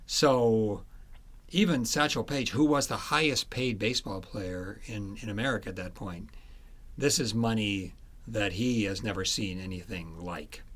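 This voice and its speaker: A man with a lowish voice speaks as an interviewed author. He explains things conversationally, pausing and repeating words as he goes. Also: a bit bright.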